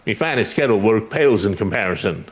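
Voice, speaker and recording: A man's voice: fairly low pitch, expressive, fast, lightly acted. A man voicing a brash, boastful master weaponsmith in a fantasy game, with blunt, sardonic, rustic slangy speech. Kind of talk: fantasy game dialogue read aloud.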